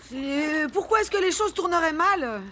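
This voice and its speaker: A woman's voice: very high voice, lively intonation, unhurried, a bit bright, a little hiss or hum, full character voice. A young woman acting a timid, hesitant female employee in a radio drama, deferential and stammering.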